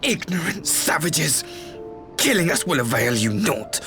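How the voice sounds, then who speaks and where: Haughty, animated imperial officer. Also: male voice, on the high side, a little slow, music in the background. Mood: haughty defiance. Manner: haughty, declamatory. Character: defiant, haughty imperial officer facing rebels. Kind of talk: video game cutscene acting.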